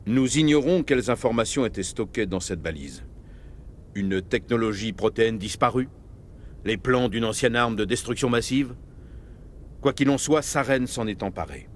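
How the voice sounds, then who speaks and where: An actor playing a grave, middle-aged military starship captain, speaking with measured authority in video game dialogue. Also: male voice, medium pitch, expressive, slight background noise, lightly acted. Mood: urgent, grave.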